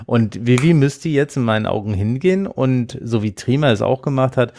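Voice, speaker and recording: A man's voice: medium pitch, expressive, normal speed, darkish tone, a little hiss or hum. A man co-hosting a podcast, giving firm opinions and reading bits aloud in a casual chat. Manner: opinionated, reads quotes aloud. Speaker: podcast co-host.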